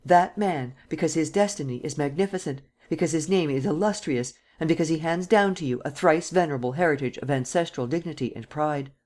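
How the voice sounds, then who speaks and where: A woman's voice: low voice, a little fast, few pauses, a little mellow, close to an everyday voice. A woman reader voicing a ruthless, commanding nobleman who speaks with vehemence. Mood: imperious. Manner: vehement, imperious.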